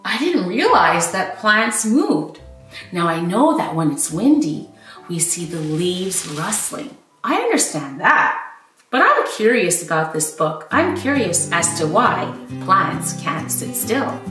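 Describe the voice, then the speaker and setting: A woman in her thirties reading a storybook aloud to young children as a teacher, with expressive emphasis and explanations. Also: lowish voice, slow, far from the mic, soft background music. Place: small living room at home, indoors. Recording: roomy echo, away from the mic.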